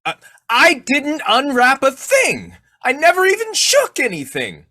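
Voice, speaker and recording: A man's voice: extremely high pitch, wildly swooping pitch, measured pace, sharp tone, no echo, studio quality, heavily acted. A man playing a sly, childlike, blustering husband in old-time radio comedy style, muttering and stammering. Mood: indignant protesting. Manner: muttering to himself, stammering, exclaiming.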